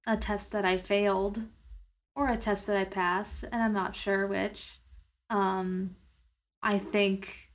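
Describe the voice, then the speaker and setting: A woman with a medium-pitched voice plays a tabletop roleplaying game. She describes what her character does in the first person, improvising casually and a little tentatively, as if working it out aloud.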